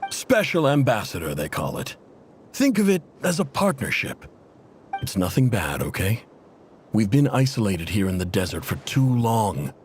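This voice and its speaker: Game cutscene: hopeful struggling tribe chief, deep-ish and expressive. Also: male voice, normal speed, slight background noise. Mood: earnest.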